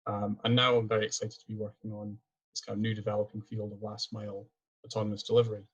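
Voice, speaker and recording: A man's voice: lowish voice, completely flat delivery, fast, slightly rough, poor audio. A young Scottish man, a PhD student, giving a webinar presentation over a video call in an earnest way with frequent restarts. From Scotland.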